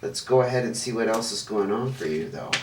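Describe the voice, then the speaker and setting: A man's voice: on the low side, expressive, brisk. A man hosting his own channel, giving a casual tarot reading to camera, chatty and thinking aloud while he lays out cards, a bit stuffy and sniffling. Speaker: tarot reader, channel host. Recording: roomy, distant mic, faint noise.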